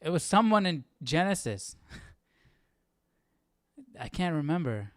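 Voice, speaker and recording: A man's voice: a little high, sing-song, takes it slowly, no room sound, clean studio sound, soft background music. A man hosting a Bible study livestream, casually thinking aloud in a questioning, hesitant way.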